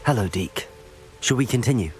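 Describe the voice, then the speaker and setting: A man's voice: medium pitch, expressive, moderate pace, faint music behind, lightly acted. Young male student hero adult actor, fantasy game: courteous, composed, varied intonation over prominent score. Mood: polite, eager. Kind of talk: scripted video game character dialogue.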